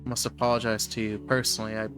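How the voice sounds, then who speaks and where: A man voicing a doomed woman in an audio drama, resigned yet quietly hopeful, with a polite, halting manner. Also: on the low side, little pitch movement, natural voice. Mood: polite, grateful. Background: music.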